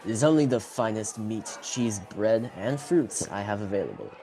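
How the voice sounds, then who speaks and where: Teen actor as a protective fantasy tavern keeper. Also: male voice, lowish voice, animated, moderate pace, warm tone, a little hiss or hum. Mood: hospitable. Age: teens. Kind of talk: student radio theatre acting.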